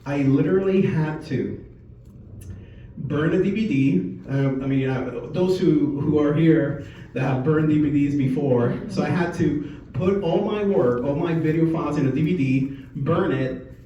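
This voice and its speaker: A man in his forties from Puerto Rico gives an informal presentation, telling a personal story in a conversational, halting way. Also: a little high, warm tone, far from the mic. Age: 40s. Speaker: speaker at a company sales meeting. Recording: echoey room from afar, muffled, faint noise.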